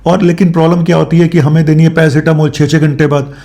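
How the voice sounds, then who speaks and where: A man's voice: a little high, flat delivery, fast talker, dark tone. A man speaking as a doctor on his health show, explaining informally with English medical terms mixed in and frequent tag questions.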